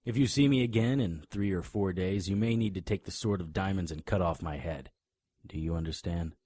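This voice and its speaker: An actor playing a tough, wisecracking monster hunter in an audio drama, grim and cocky, with a husky voice. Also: male voice, deep voice, brisk, dark tone, no room sound. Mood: grave, urgent. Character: hard-boiled male monster hunter, grim, cocky, threatening. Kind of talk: audio drama acting with noir first-person narration.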